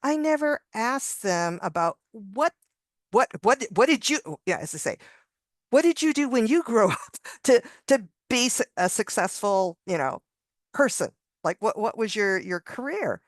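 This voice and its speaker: A woman's voice: on the high side, very animated, unhurried, no room sound, studio quality. A woman contributing author on a video panel, telling a story conversationally, with frequent restarts and small laughs. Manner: rambling with many restarts and laughs. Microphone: video call.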